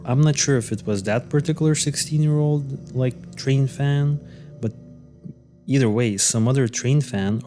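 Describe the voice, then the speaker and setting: A man's voice: mid-range voice, mellow. A man co-hosting a podcast, recounting a case in loose, hesitant casual chat full of fillers and restarts. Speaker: podcast co-host. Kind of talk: casual conversational storytelling.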